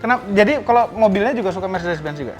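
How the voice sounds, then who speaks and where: A man with an Indonesian accent hosts casually in a high, very lively voice, with faint music behind him. He teases as he goes, firing off short questions and remarks while showing things around. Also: racing, dull audio. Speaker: car channel host. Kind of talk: casual chat, showing cars and questioning a guest.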